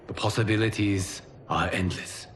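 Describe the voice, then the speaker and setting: A man playing a calm, wise god of time in a video game, speaking in a measured, formal, reassuring way. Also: fairly low pitch, background noise, close to an everyday voice. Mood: solemn, explanatory. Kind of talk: cinematic video game acting.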